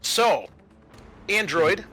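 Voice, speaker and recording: A man's voice: sits high, big pitch swings, extremely slow, bright, forceful tone. A man acting as game master, narrating and making rulings in a casual, profane way. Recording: music behind the voice.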